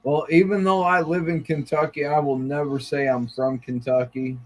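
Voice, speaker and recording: A man's voice: a little high, little pitch movement, moderate pace, rough recording. A man from Iowa in casual podcast chat, rambling and swearing, often starting with "I mean". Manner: profane, rambling, repeats "I mean".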